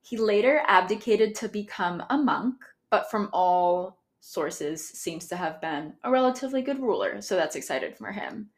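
A woman's voice: mid-range voice, animated, normal speed, few pauses. A woman with an American accent hosting a history podcast, explaining casually, correcting herself and often laughing. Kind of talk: casual educational talk.

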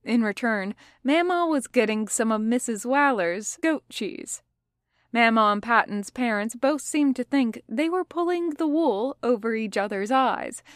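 A woman's voice: fairly high pitch, dry recording, clean studio sound. A woman reading scripted fiction as an audiobook narrator. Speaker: podfic narrator.

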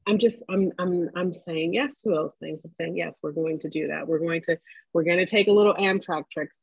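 A woman's voice: on the low side, a little fast, creaky, husky, no echo. A middle-aged woman co-hosting a casual podcast chat, candid and emphatic, swearing offhandedly. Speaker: podcast co-host. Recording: dull, dry lo-fi sound.

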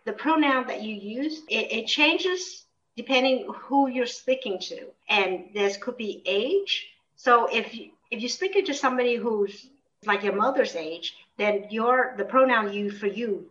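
A woman's voice: a little high, fairly bright tone. Middle-aged woman, distant and muffled. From born in Vietnam until twelve, then United States. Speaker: interviewed memoir author. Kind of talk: conversational interview answers. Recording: distant mic, dull muffled sound.

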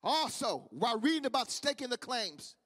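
Midwestern male preacher, very high voice, fervent repeating cadence, long hall reverb. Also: sing-song, moderate pace, bright, forceful tone. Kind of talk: sermon.

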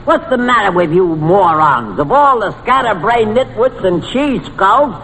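A man's voice: sits high, lively intonation, measured pace, bright, forceful tone, big-room sound, heavily acted. A man playing an exasperated straight-man quiz host in 1940s radio comedy. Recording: noisy vintage radio broadcast.

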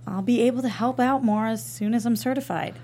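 A woman's voice: fairly high pitch, brisk, warm tone, a little hiss or hum, lightly acted. A woman playing a flirty, free-spirited wife in her late twenties at a comedy table read. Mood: defensive.

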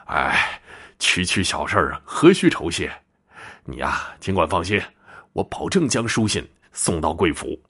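A man's voice: low-pitched, big pitch swings, measured pace, bright, forceful tone, husky, exaggerated voice. A man playing a polite, earnest younger traveler in a folk tale, deferential and eager to oblige. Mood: reassuring.

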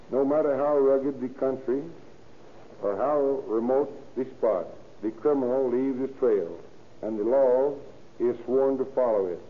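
Stiff American man on tinny old radio. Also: mid-range voice. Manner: stiff reading with stumbles. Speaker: county sheriff, guest speaker. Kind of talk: scripted radio address. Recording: tinny, hissy 1930s radio recording.

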